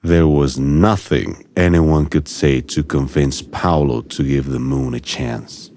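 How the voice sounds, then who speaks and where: Deep-voiced man narrating a children's story. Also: steady tone, slow, darkish tone, a little hiss or hum. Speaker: audiobook narrator.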